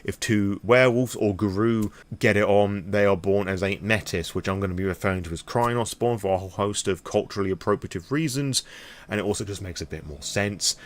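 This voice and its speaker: A man's voice: on the low side, fairly quick. A British man hosting a vlog, explaining things in a casual, wordy, frank conversational way. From Britain. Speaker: host of a lore channel.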